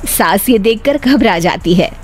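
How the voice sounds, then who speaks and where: A woman narrating a cartoon story in a lively storytelling way. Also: mid-range voice, a little slow, slightly rough. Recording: faint music behind.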